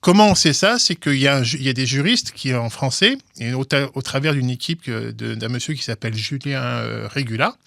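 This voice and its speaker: Man, mid-pitched, halting explainer, close dry mic. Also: animated, vocal fry, fairly bright tone, no room sound. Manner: halting, many restarts and repeated words. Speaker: guest, legal commentator. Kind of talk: explanatory interview talk.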